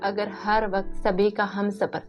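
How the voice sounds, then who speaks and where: A woman's voice: mid-range voice, flat delivery, a little slow, faint music behind. A woman storyteller delivering scripted narration of a family tale.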